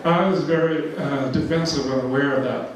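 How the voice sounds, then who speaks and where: A man's voice: fairly high pitch, normal speed. Give me a man in his seventies from Georgia, loose and rambling, recorded from a distance in a very echoey hall with faint background noise. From Georgia, USA. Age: 70s. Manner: rambling, many fillers, chuckles often. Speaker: author giving a talk. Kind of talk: informal lecture with slides. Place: large church hall. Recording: echoey hall, mic far off.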